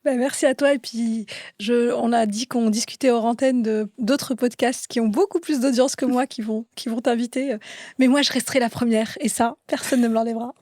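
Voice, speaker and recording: A woman's voice: a little high, steady tone, moderate pace, a little mellow, no echo, studio quality. A woman hosting a podcast interview, encouraging her guest and joking easily, in a casual chat. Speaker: podcast host.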